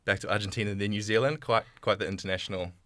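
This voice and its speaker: A man's voice: lowish voice, fairly even intonation, very fast, fairly bright tone, no room sound. A man hosting a podcast and interviewing a guest in a friendly, chatty way, with frequent "um"s. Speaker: podcast host. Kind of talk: podcast interview, casual chat.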